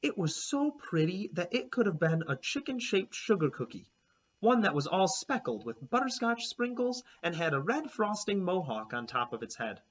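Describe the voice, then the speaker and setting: A male teacher reading a children's chapter book aloud, expressive and making chatty asides to young listeners. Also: sits high, normal speed. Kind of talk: children's read-aloud narration. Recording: slightly dull lo-fi recording.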